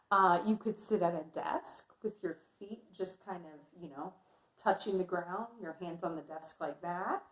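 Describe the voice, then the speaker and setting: A woman teaching young children in a read-aloud lesson, encouraging and often checking in with her listeners. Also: lowish voice. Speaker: kindergarten teacher. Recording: echoey room sound.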